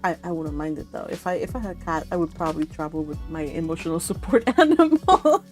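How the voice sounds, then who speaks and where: A woman's voice: low voice, expressive, fast, faint music behind. A woman hosting a read-along stream, chatting casually and rambling with frequent laughter. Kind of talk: casual chat with participants.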